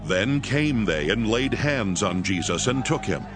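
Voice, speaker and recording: A man's voice: lowish voice, animated, a little slow. A man narrating scripture from a script, in a solemn storytelling manner. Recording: background music under the voice.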